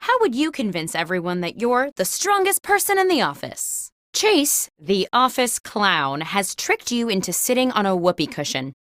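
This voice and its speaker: A chirpy office interviewer woman hosts a video game with theatrical, mock-professional polish. Her mid-pitched voice swings widely as she delivers scripted questions with wry crispness. The sound is dry and studio-close. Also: sing-song, moderate pace, few pauses, fairly bright tone, no echo. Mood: upbeat, wry. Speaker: game host character. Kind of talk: scripted game show prompts.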